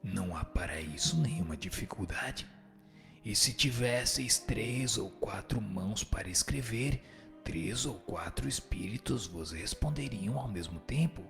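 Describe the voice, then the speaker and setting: A man's voice: fairly low pitch, expressive, a little slow, creaky, soft background music. A man playing a solemn spirit instructor in a radio drama, reciting didactic answers in an admonishing, formal way. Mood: solemn, instructive. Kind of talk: dramatized reading of a spiritist text.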